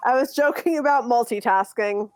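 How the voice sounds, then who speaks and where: A woman's voice: a little high, moderate pace, darkish tone, no echo. A woman chatting casually and playfully on an online voice call, in a teasing, theatrical way.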